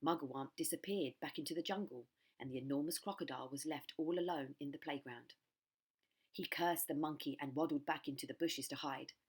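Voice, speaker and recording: A woman reads a children's story aloud in scripted narration. Her voice sits low for a woman. It has a husky edge. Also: a little fast. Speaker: primary school story reader.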